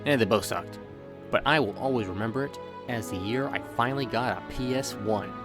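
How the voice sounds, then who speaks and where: A man delivers a scripted comedic review as a voice-over. His delivery is playful and exaggerated, at a medium pitch, with music clearly playing behind him. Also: fairly quick. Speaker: video game reviewer. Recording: background music under the voice.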